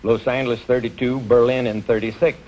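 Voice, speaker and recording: An older man, a track coach appearing as a quiz contestant, who speaks in an earnest, folksy way and often pauses with uhs, on a TV studio stage. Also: medium pitch, little pitch movement. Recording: dull 1950s TV audio with hiss.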